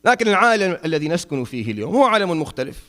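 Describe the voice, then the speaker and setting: A middle-aged man from Syria, giving a Friday sermon as an imam, impassioned at times and dropping low and wry at others. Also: big pitch swings, racing, sharp tone. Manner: impassioned, rising to emphatic peaks then dropping low and wry.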